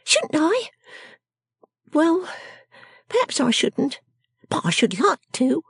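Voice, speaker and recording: Storybook role: scolding young girl dressmaker, very high swooping voice, snappish, bossy and emphatic. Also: wildly swooping pitch, slow pace, vocal fry, no echo, studio quality, heavily acted. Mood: vengeful, sharp. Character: shrewish, bossy, sickly young girl dressmaker, sharp-tongued. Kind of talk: storybook character acting.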